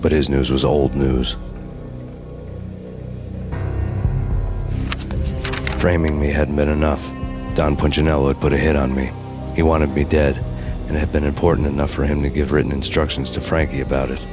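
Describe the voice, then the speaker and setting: An actor playing a brooding, world-weary hardboiled cop on the run in a video game, speaking in a deadpan, sardonic noir style. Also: male voice, a bass voice, fairly quick. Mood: grim. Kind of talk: noir scripted narration and game dialogue. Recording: music behind the voice.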